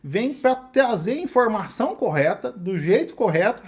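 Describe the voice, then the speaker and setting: A man's voice: high voice, very animated, normal speed, husky. A man with a Brazilian accent hosting a casual interview, chatting and putting questions to his guests. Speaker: podcast host, accountant.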